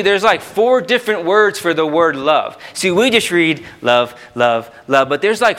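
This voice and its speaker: A male youth pastor delivers an informal sermon in a fairly high, bright voice. He leans on repeated phrases for emphasis and breaks off into chatty asides to the people listening. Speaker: youth pastor preaching to a congregation. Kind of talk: informal sermon with scripture quotation and exposition.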